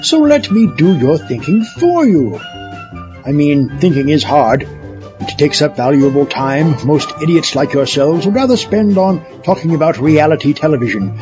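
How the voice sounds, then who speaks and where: Casting: man as a pompous mad-doctor villain, condescending, high animated voice on a muffled track. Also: measured pace. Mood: haughty, contemptuous. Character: haughty, condescending male supervillain running for dictator. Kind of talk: comedy audio drama. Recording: dull lo-fi, faint music behind.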